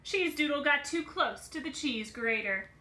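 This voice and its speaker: A woman's voice: high voice, lively intonation, moderate pace, pushed and bright. A woman voicing a nervous, easily frightened female pretzel stick in a storybook, with a fretful, exclaiming manner. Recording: roomy home phone recording.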